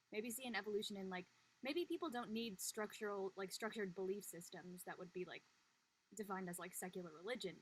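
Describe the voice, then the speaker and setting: A woman's voice: medium pitch, slight background noise, rough recording. A woman reasoning aloud in a casual discussion, reflective and informal. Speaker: panelist.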